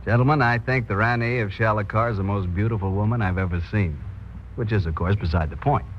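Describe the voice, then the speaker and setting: A man's voice: on the low side, fast talker, close to an everyday voice. Man playing an earnest American oilman, muffled radio. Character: earnest, aggrieved American oilman protesting his innocence. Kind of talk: 1940s radio acting. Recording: muffled, noisy vintage radio recording.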